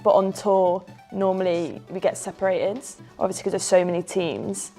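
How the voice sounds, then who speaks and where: A young woman talks in an upbeat, chatty way, her voice often dropping into a gravelly fry. Light music plays behind her in the salon. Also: mid-range voice, steady tone, normal speed, mellow. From London. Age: 20s. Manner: upbeat, chatty, laughs easily. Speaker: guest athlete. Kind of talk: casual chat. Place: hair salon.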